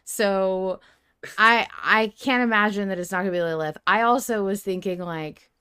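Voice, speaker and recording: A woman co-hosting a podcast in casual fan discussion, animated and exclamatory, swearing freely. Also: mid-range voice, normal speed, clean tone. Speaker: podcast co-host.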